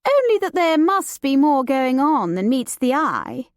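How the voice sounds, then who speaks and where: A woman's voice: sits high, sing-song, measured pace, no echo, studio quality, pitched above the performer's own voice. A woman voicing a flighty, romantic young noblewoman with a lilting, gossipy manner. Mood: playful.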